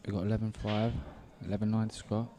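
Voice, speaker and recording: A man co-commentates a pool match in a casual, informal manner. His voice is fairly low and warm, and he often drops to a quieter, mellow tone. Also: level tone, quick pace. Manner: casual, informal, laughs easily. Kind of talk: live stream commentary chat.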